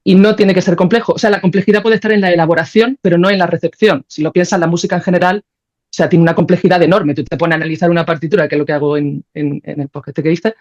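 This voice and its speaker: A woman's voice: lowish voice, fairly even intonation, fast talker, darkish tone. A woman gives conversational interview answers in a reflective, emphatic way, reworking her phrases as she thinks. The recording is a little dull and lo-fi. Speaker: podcast guest, audio creator and musician. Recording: slightly dull lo-fi audio.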